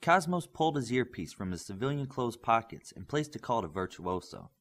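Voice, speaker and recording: A man narrates a superhero audiobook in a husky voice. His pitch moves around a lot as he reads scripted fiction expressively and shifts into the characters' dialogue. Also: fairly quick. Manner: expressive reading of superhero story.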